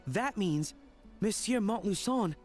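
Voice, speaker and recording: A man's voice: sits high, lively intonation, takes it slowly, a little mellow, faint music behind. An actor playing a studious, deferential male research assistant in a video game, precise and earnest. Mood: eager. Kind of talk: English video game voice acting.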